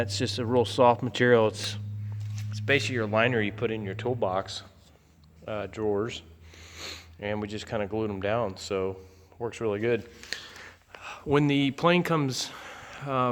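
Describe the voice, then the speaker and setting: A man with a fairly low voice talks through things casually and without a script. His delivery is folksy and rambling, with halting restarts. The sound rings with long reverb, like a big indoor space. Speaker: aircraft owner and pilot. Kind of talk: casual unscripted walkthrough.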